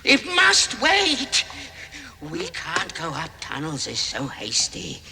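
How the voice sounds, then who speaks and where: A man's voice: a little high, wildly swooping pitch, slow pace, gravelly, heavily acted. A man playing a wretched, greedy, wicked cave creature in a vintage radio drama, hissing and whining as he talks of himself as "we". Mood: sly, hissing. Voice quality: hissing, whispery. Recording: noisy old radio recording, long cavernous reverb.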